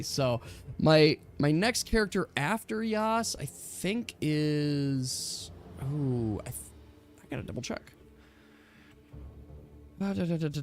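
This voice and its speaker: A man chatting casually as a game master, with an enthusiastic, rambling way of explaining things to his players. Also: fairly high pitch.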